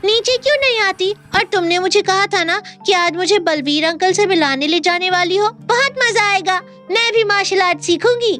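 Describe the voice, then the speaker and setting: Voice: extremely high pitch, normal speed, hardly any pauses, bright, forceful tone, soft background music. A performer playing an eager, childlike little girl in an audio drama. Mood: excited. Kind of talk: Hindi audio drama acting.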